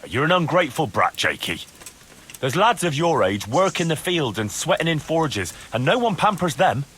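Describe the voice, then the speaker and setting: Husky, scolding male soldier. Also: fairly high pitch, big pitch swings, normal speed, fairly bright tone, full character voice. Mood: scornful, scolding. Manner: reproachful, sardonic. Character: stern male medieval soldier interrogating a prisoner, scolding. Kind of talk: video game cutscene acting.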